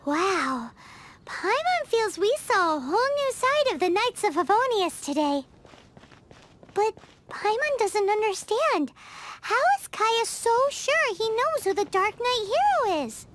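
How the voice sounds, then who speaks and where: A woman voicing a tiny, excitable floating fairy companion who talks about herself in the third person, in video game acting. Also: very high voice, a little slow, exaggerated voice. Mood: chirpy. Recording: game audio with music behind.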